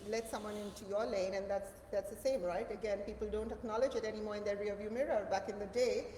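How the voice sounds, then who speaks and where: A woman's voice: medium pitch, steady tone, fast talker, warm tone. A woman hosting a casual conversational interview in an agreeable, encouraging way. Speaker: interview host. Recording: echoey reverberant room with background noise.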